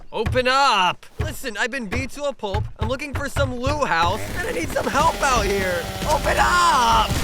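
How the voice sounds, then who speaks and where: A man's voice: sits high, heavily acted. A man voicing a hapless, broke young man lost in another world, dramatic and self-pitying, in an anime parody dub. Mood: pleading.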